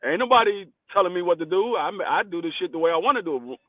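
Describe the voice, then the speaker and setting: A man in his forties chatting casually on a podcast, telling stories in blunt slang with animated energy. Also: high voice, fast, dry recording. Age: 40s. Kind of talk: casual chat. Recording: tinny phone-line sound, dry.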